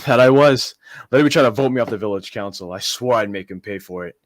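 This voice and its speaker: A man's voice: lowish voice, fast talker, close to an everyday voice. A man playing a deranged, power-mad village postman and bell ringer in a radio drama, with a rustic, menacing manner. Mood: gloating. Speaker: amateur actor in a student radio drama. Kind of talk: 1940s radio drama acting.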